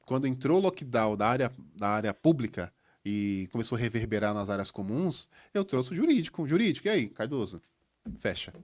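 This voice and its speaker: Man, São Paulo accent, lively swooping intonation, friendly podcast host. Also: medium pitch, fairly quick. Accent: Brazilian Portuguese, São Paulo. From Salvador, Brazil. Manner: animated, echoes the guest's words, "legal". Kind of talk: casual interview chat.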